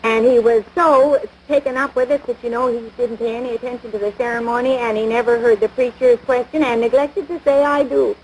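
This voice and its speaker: A woman's voice: fairly high pitch, fairly even intonation, a little fast, few pauses, natural voice. An actress playing a crabby small-town American housewife and mother in a domestic comedy, nagging in folksy, scornful talk. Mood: chatty, earnest. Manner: folksy ungrammatical speech, scornful, exasperated. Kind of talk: domestic radio-style situation comedy. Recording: noisy vintage broadcast recording.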